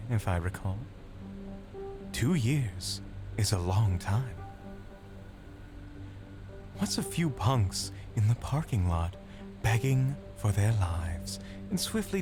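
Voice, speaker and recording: A man voicing a sly, evil phantom who coaxes and taunts in an audio drama. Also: on the low side. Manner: taunting, coaxing, leisurely. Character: sly, sinister demonic phantom man, coaxing toward murder.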